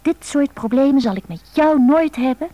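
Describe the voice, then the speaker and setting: A woman's voice: high-pitched, lively intonation, moderate pace, warm tone, low-quality recording. An actress playing a lovelorn, needy woman with a pleading, emotional manner in a radio drama. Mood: wistful, declaring.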